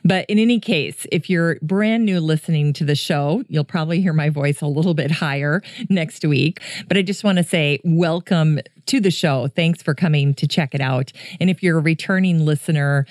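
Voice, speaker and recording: A middle-aged woman hosting a gardening podcast in a chatty, friendly way, her voice deeper than usual after a coughing fit. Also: normal speed, darkish tone, no echo, studio quality. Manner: chatty, friendly listener address. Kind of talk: casual solo podcast talk.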